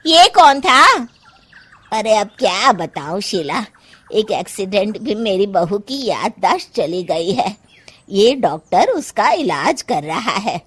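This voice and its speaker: Woman doing a tired sharp-tongued saas in a cartoon, slow and drawn out, pitch going up and down a lot, scolding. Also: sing-song, slightly rough, full character voice. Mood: scheming. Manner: scolding, exasperated, homely. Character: harried older mother-in-law, fed up and sharp-tongued. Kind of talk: Hindi cartoon story voice acting.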